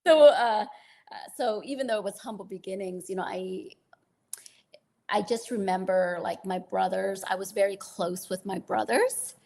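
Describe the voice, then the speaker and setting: Female interviewee, informal storyteller, husky voice with noticeable vocal fry. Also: medium pitch, moderate pace, dark tone. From Vietnamese refugee family, raised in Germany and the US. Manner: informal, often says "you know" and "right". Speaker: interview guest, entrepreneur and speaker. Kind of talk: casual personal storytelling.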